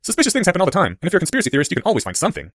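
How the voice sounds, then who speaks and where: A man voicing a bright, excitable, nerdy schoolboy who explains things eagerly and wordily in an audiobook story. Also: high voice, racing, dry recording, clean studio sound, pitched above the performer's own voice, exaggerated voice. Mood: earnest explaining. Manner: animated, eager, wordy explaining.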